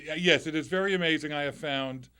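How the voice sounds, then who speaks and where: A loud-voiced middle-aged man talks into a studio microphone, hosting a live call-in radio show. He chats loosely and conversationally, circling back with restarts and little asides. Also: fairly high pitch, fast talker, smooth.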